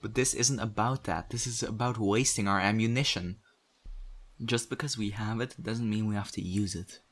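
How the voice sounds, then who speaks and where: Low-voiced, stern zombie apocalypse survivor played by a man. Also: fairly bright tone. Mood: angry, scolding. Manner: earnest, scolding, deliberate with pointed pauses. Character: stern survivor community member in zombie apocalypse, exasperated, principled. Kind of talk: audio roleplay acting addressed to the listener.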